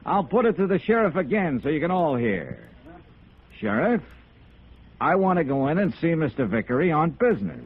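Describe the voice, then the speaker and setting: Hard-boiled American investigator, clipped, wry, swinging intonation, old radio drama, band-limited vintage sound. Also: male voice, on the high side, sing-song, normal speed, narrow, tinny audio. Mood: loud, rallying. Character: hard-boiled male freelance insurance investigator, cool and defiant. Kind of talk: 1950s American radio noir acting.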